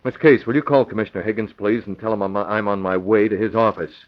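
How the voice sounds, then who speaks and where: A man's voice: lowish voice, fairly even intonation, fast talker, close to an everyday voice. A man playing a commanding, shrewd masked crime-fighter in clipped, decisive old-time radio acting. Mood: calm, decisive. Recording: dull, lo-fi old radio recording.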